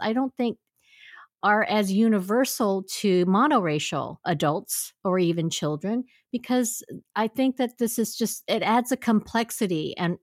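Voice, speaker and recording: A woman's voice: mid-range voice, dry recording, clean studio sound. A middle-aged woman interviewing a guest, speaking in an earnest, reflective way with frequent restarts. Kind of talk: conversational interview.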